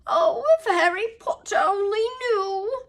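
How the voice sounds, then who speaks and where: A woman's voice: very high voice, lively intonation, slow, sharp tone, pitched above the performer's own voice, full character voice. A woman voicing a small male house elf for a storybook, servile, fearful and anguished, squealing and moaning as he pleads. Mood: tearful, reverent. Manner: pleading, stammering, tearfully dramatic.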